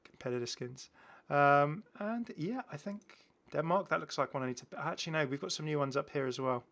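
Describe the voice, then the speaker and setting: Bright-voiced man hosting a gaming video. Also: fairly high pitch, a little fast, gravelly. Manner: chatty, enthusiastic, exclaiming. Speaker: host of a gaming channel. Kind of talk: casual gameplay showcase commentary.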